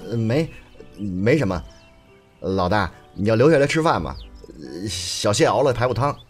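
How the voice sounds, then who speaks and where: A man's voice: on the low side, big pitch swings, moderate pace, faint music behind. A man acting a cheeky, gossipy young gang underling in an audio drama, chatty and teasing. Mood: sheepish.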